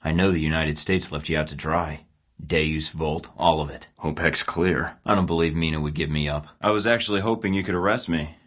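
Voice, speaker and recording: A man's voice: extremely low pitch, fairly even intonation, brisk, fairly bright tone. A young man playing a cocky male rogue spy who talks in a sarcastic, clipped way in a studio game recording. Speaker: secret agent protagonist. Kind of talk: video game voice acting.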